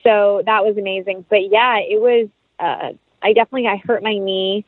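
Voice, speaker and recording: Over a narrow, phone-like connection with a dry sound, a woman talks casually as an interview guest. Her voice is mid-pitched, and she is chatty and enthusiastic. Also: steady tone, normal speed, dry recording.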